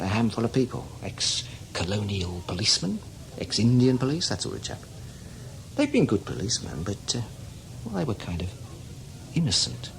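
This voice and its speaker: An actor playing a seasoned, cynical older British spy in a radio drama, talking in a wry, knowing, emphatic way. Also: male voice, lowish voice, creaky, a little hiss or hum, poor audio. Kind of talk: radio drama acting, conversational.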